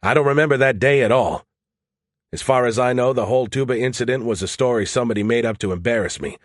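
A man's voice: medium pitch, fast talker, no room sound, lightly acted. A man voicing a wry, weary, easygoing soldier in an audiobook, with a casual, dry, defensive manner. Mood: sheepish, defensive.

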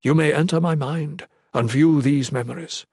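A man reading the part of a stern, earnest fantasy mage in a formal, deliberate manner. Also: a little high, darkish tone, husky, dry recording, studio quality, pitched above the performer's own voice. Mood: solemn, firm. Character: stern, earnest male mage, pleading and warning. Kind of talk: fantasy audiobook character reading.

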